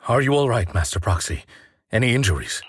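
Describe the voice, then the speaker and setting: An actor playing a stoic, honorable protector in a video game, formal, restrained and earnest. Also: male voice, on the low side, big pitch swings, brisk, husky, exaggerated voice. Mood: concerned. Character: stoic, honorable male protector, polite and principled. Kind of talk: dramatic video game voice acting.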